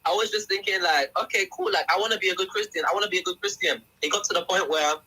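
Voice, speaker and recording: A young man from England tells his story as a guest in a casual podcast chat, animated and acting out what others said. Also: high voice, very fast, breathy edge, rough recording. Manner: animated storyteller who acts out quotes.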